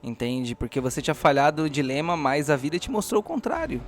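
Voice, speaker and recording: A man's voice: a little high, big pitch swings, fast talker. A man with a Brazilian accent narrating a tabletop role-playing game as game master.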